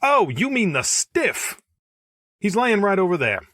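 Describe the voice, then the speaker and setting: A man plays an eager Roman senator in a comic radio play. His voice sits fairly high and moves around a lot. He talks in earnest slang, recorded dry and close. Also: big pitch swings, a little slow, bright, forceful tone, no room sound, exaggerated voice. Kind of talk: old-time radio comedy acting.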